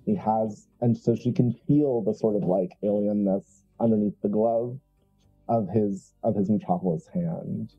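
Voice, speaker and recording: Muffled, gravelly man, slowly narrating. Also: fairly low pitch, steady tone, dark tone, soft background music. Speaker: player in a tabletop roleplaying game. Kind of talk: narrating his character's actions.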